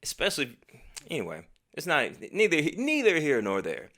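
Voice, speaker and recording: A man's voice: fairly high pitch, normal speed. American man telling a profane story. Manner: profane, slangy, animated retelling. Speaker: storytelling vlogger. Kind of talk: casual personal storytelling with acted-out quotes.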